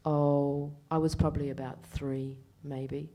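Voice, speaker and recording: Low-voiced New woman interpreter. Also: level tone, a little slow, mellow. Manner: hesitant, with many fillers and restarts. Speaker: sign language interpreter voicing a Deaf male panellist. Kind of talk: live first-person interpreting on a panel.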